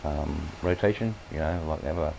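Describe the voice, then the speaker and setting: A very deep, gravelly Australian man talks over a farming game, his voice croaky from coughing. He rambles and stops often for fillers, and his tone is dark and mellow. A faint hiss sits behind him. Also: rough recording. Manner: rambling, halting, many fillers. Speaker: gameplay streamer. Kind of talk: casual farming-game commentary.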